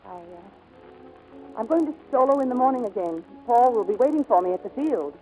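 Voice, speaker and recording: A woman's voice: a little high, expressive, fairly quick, warm tone, close to an everyday voice, rough recording. An actress playing an earnest, romantic but guarded young woman pilot in 1940s radio drama style. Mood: earnest, hesitant. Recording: old 1940s radio broadcast, music behind.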